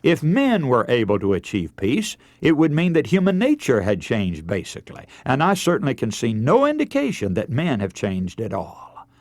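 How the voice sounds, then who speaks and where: A man's voice: mid-range voice, wildly swooping pitch, normal speed, darkish tone, no echo. A middle-aged man preaching a radio sermon in an earnest, emphatic, oratorical manner. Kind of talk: radio sermon with scripture readings.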